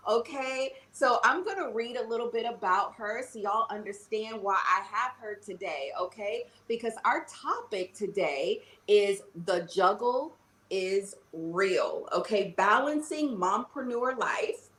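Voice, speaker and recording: A woman's voice: mid-range voice, expressive, measured pace, a bit bright, echoey room, slight background noise. A woman hosting a podcast interview, bubbly and upbeat, often saying "okay" and "y'all". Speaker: podcast host.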